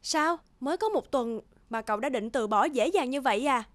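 A woman's voice: sits high, lively intonation, normal speed, fairly bright tone, no room sound. A woman voicing a chatty, teasing young office colleague in a cartoon. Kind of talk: cartoon dubbing. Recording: music under the voice.

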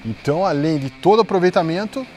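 A man's voice: a little high, expressive, fast, darkish tone, faint music behind. Upbeat Brazilian man hosting a sawmill tour. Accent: Brazilian Portuguese. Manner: upbeat, leading questions. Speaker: host. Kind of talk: on-site company tour interview. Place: outdoors at a sawmill.

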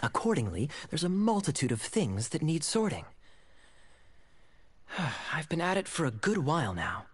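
An actor playing a wry young cavalry captain in a video game, smooth and polished in manner. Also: male voice, fairly high pitch, sing-song, moderate pace, long pauses, no room sound, studio quality. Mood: suave, wry.

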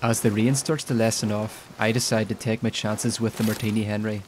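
A man's voice: fairly low pitch, a little fast. A man talking through gameplay as a video creator, in narration and live team chat. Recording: steady loud background noise. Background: pouring rain and game ambience.

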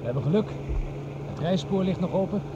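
A man's voice: high-pitched, expressive, brisk. An actor playing a nervous, sceptical driver on Mars in a Dutch radio drama, blunt in his manner. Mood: conversational. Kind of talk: Dutch radio play acting. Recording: dull, noisy old radio drama recording.

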